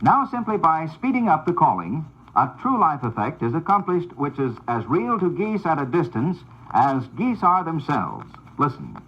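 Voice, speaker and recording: A man giving scripted, formal instructional narration on an old 78rpm record, in a measured, announcer-like delivery. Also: on the high side, huge pitch swings, highs cut off, slight background noise.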